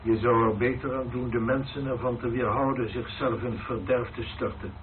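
A man's voice: mid-range voice, fairly even intonation, moderate pace, a little hiss or hum, close to an everyday voice. Actor as a distant, stern man. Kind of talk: radio play acting. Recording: distant, narrow, humming radio sound.

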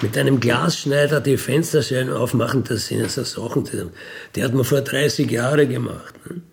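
A middle-aged man with a Bavarian accent tells first-person anecdotes casually, rambling along with colloquial tag questions and throat clearing. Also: medium pitch, moderate pace. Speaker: storyteller, self-described burglar.

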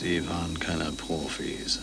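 An actor playing a cool, terse professional agent in a satirical radio play, commanding and deadpan. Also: male voice, very deep voice, takes it slowly, creaky, heavy background noise, heavily acted. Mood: menacing, deadpan. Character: cool hard-boiled male professional agent called Wolf.

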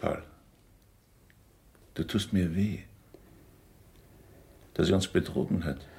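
A very low-voiced grave uncle in a radio drama. He tells his story deliberately and insistently, pleading while he justifies himself. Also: gravelly, a little mellow, heavily acted. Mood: hurt, pleading. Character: confessing older uncle, grave, self-justifying yet pleading. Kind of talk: 1980s German radio drama acting.

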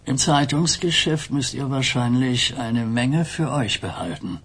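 Very husky ageing Mafia boss. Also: male voice, mid-range voice, a little slow, a bit bright. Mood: probing. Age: older. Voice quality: husky, rough. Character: ageing male Mafia boss, reflective, quietly commanding. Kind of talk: radio drama acting.

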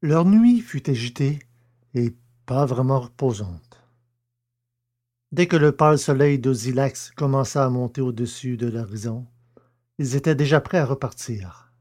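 A man's voice: medium pitch, measured pace, darkish tone. Man narrating a science fiction audio novel. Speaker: podcast host and narrator.

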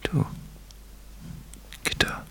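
A man acting a gentle husband who soothes his wounded wife in an intimate radio play, speaking in a hushed, tender, husky way. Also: very slow, whispered. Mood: tender, coaxing. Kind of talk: intimate naturalistic German radio drama acting.

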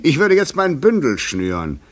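A man's voice: fairly high pitch, wildly swooping pitch, normal speed. A man acting an eloquent, world-weary fugitive in a literary radio play, speaking poetically and rhetorically. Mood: wistful, ironic. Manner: poetic, rhetorical, theatrical delivery.

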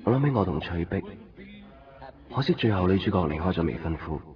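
A man's voice: low voice, expressive, fairly quick. An actor playing a wistful young man with a Hong Kong Cantonese accent in reflective radio drama monologue. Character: wistful lovelorn young man recalling a film date. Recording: dull 1990s radio recording. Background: music.

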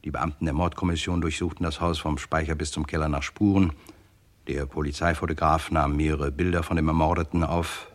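A man's voice: rumbling low, little pitch movement, brisk. An actor playing a polite, wry, talkative crime writer who narrates his own case in a vintage German radio play. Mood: wry narrating. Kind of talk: 1950s-80s German radio crime drama acting.

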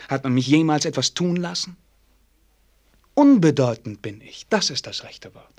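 An actor playing a melancholy, self-doubting young prince in a radio play, speaking formally and earnestly. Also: male voice, a little high, sing-song, moderate pace. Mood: bitter self-doubt. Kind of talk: German radio play acting.

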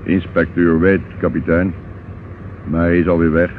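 A man's voice: deep voice, flat delivery, normal speed, phone-quality sound, close to an everyday voice. An actor playing a sly henchman on a barge in a radio drama. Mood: smug. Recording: thin, noisy old radio recording.

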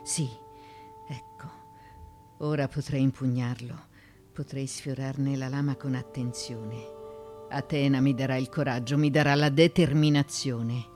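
Radio play: proud, desperate woman of ancient Greece, low register, deliberate and intense soliloquy, music underneath. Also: fairly even intonation, darkish tone. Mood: grim resolve. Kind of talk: theatrical radio drama monologue.

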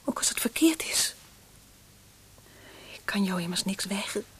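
Very breathy, shy young sweetheart. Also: female voice, medium pitch, expressive, moderate pace, fairly bright tone. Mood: loving, yielding. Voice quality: breathy, often near whisper. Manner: hesitant, timid. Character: shy, devoted, obedient young woman in love. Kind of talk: radio drama acting.

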